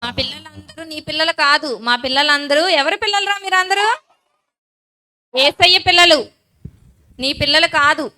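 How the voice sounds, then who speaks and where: A woman with a high, forceful voice hosts a children's puppet show. She is firm and exhorting, questioning the children like a teacher as she goes. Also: normal speed, long pauses. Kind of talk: animated children's church teaching.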